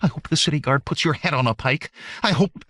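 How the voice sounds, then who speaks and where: A man's voice: fairly high pitch, lively intonation, brisk, fairly bright tone, dry recording, studio quality, voice pushed up. A man voicing a hot-tempered, indignant young woman thief in an audiobook, sputtering and scornful. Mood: furious.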